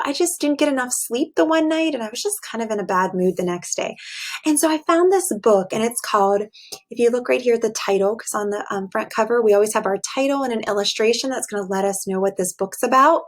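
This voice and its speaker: High-voiced woman teaching young children. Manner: expressive, simple explanations with questions to children. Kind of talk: read-aloud lesson for young children.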